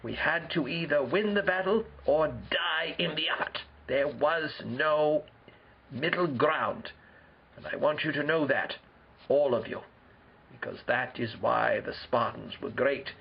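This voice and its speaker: A man playing a proud, solemn ancient Spartan king who speaks formally and deliberately in an amateur role-play interview. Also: a little high, creaky, slightly rough, highs cut off, full character voice. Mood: emphatic.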